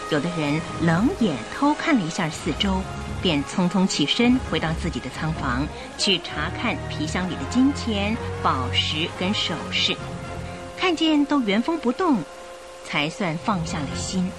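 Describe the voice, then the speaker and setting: A woman narrating a radio drama in an expressive, suspenseful storytelling style. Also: fairly low pitch, unhurried. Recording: music bed behind the voice. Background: music.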